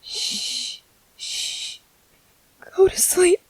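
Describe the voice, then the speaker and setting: A woman's voice: sits high, lively intonation, darkish tone, no room sound, poor audio. Woman playing a grieving, bitter young widow. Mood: desperate. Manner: slow, heavy with grief, at times tearful. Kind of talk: emotional dramatic monologue.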